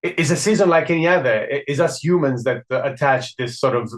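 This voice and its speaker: A man's voice: medium pitch, fast. Earnest man, rambling interview questions. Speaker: podcast host.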